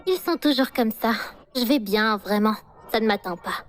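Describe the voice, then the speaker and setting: A woman playing a cute, lovelorn, pushy high-school girl in an anime fan dub, melodramatic and coquettish. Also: moderate pace, natural voice. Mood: smitten. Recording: music playing behind the voice.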